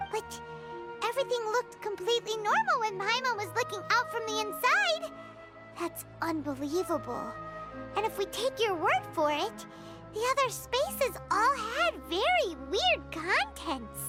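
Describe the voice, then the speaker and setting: A tiny fairy sidekick from a video game with an extremely high, childlike voice. She is chirpy and excitable, swooping wildly in pitch, with music playing behind her. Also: full character voice. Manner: chirpy, exclamatory, refers to herself in third person. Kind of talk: video game character dialogue.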